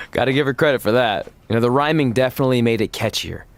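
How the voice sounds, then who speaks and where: A woman's voice: a bass voice, fast talker, dry recording. An actress playing a teasing, level-headed young woman wrestler in a video game cutscene.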